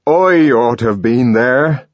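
A man's voice: mid-range voice, big pitch swings, slow pace, husky, no room sound, lo-fi sound, voice pushed up, full character voice. A man voicing an earnest, emotional young man who speaks in an intense, trembling way. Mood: impassioned.

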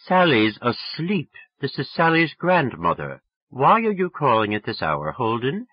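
A man's voice: mid-range voice, wildly swooping pitch, a little slow, husky, lo-fi sound, pitched above the performer's own voice, full character voice. A man voicing a cold, stern old grandmother in an audiobook, frosty, clipped and disapproving. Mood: cold disapproval.

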